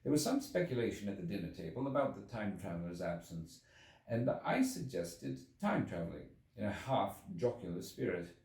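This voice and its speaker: A man narrates a classic story in a fairly low voice with wide swings of intonation. His phrasing is measured and theatrical, and the sound is roomy and set back from the mic. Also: big pitch swings, a little mellow. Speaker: audiobook reader. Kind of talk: expressive scripted literary narration. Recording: echoey room, distant from the mic.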